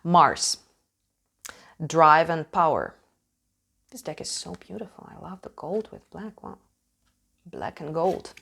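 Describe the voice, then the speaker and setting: A woman from Ukraine, a native Russian speaker with a Russian accent, casually hosting a tarot reading in a halting, emphatic way, searching for words. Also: low voice, very animated, gravelly, a bit bright, husky. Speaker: tarot reader hosting a channel.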